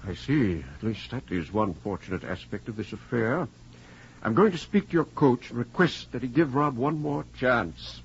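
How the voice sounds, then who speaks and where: An actor playing a grave, formal middle-aged college dean in a 1940s radio drama. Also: male voice, mid-range voice, expressive, slightly rough. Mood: grave, regretful. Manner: formal, measured, stern. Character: grave, formal male college dean, regretful but firm. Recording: muffled, hissy 1940s radio recording.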